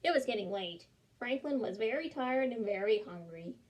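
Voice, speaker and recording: Gentle young woman reading a picture book. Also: a little high, animated, moderate pace, poor audio. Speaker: storyteller.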